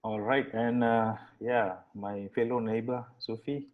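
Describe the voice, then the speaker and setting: A man's voice: mid-range voice, flat delivery, unhurried. A man hosting an online webinar, talking casually in a rambling way with many fillers and restarts. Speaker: webinar host. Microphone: video call. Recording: dull video-call audio.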